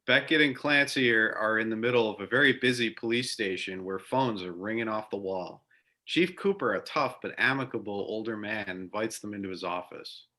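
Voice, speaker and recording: Narrator for amateur readers theater, reading stage directions in a bright, mid-pitched voice. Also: normal speed.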